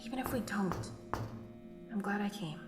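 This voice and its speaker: A woman's voice: medium pitch, animated, normal speed, close to an everyday voice. An actress playing an earnest, candid young woman trapped with her friend in an audio drama. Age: young adult. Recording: roomy echo under loud music.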